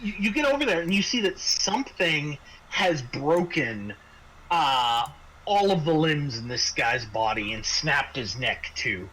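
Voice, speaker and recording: A man running a tabletop game as game master, narrating off the cuff in a halting way with repeated words. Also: a little high, very animated, pushed and bright, breathy edge. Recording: noisy home microphone.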